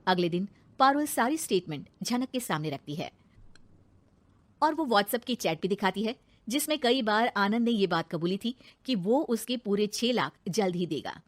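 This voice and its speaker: A woman's voice: medium pitch, expressive, moderate pace. A woman narrator reading a scripted moral story in a dramatic storytelling style.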